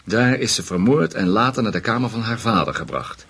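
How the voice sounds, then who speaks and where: An actor playing a measured, discreet senior German investigator in a Dutch radio play, speaking in a deliberate, formal way. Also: male voice, lowish voice, animated, fairly bright tone, slightly rough, exaggerated voice. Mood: firm, reasoning.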